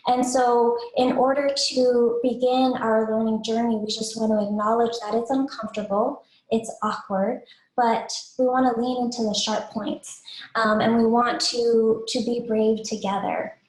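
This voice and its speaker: A woman with a soft, fairly high voice leads an online workshop as an educator. She explains things informally and puts rhetorical questions to her listeners. The sound is distinctly roomy and echoey. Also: little pitch movement, measured pace. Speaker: webinar presenter and educator. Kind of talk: informal slide presentation to parents. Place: indoors, online webinar. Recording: roomy, echoey webinar audio.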